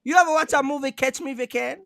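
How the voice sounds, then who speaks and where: Man, high voice, gravelly edge, teasing banter, live stream host. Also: rapid-fire, a crowd in the background. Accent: Jamaican-influenced. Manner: joking, teasing, talks over others. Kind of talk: casual live stream chat.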